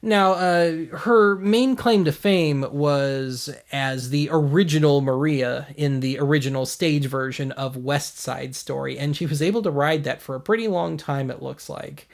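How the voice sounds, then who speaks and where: A man's voice: on the high side, a little slow, vocal fry, few pauses. An American man hosting a video series, giving casual commentary in a wry, blunt, self-deprecating way. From United States.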